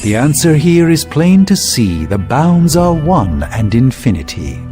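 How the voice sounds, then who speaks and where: Pompous sorcerer, swooping pitch. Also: male voice, sing-song, measured pace, mellow, faint music behind. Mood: haughty, amused. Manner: pompous, verbose, riddling. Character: pompous, theatrical male sorcerer with lofty airs. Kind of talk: video game cutscene acting.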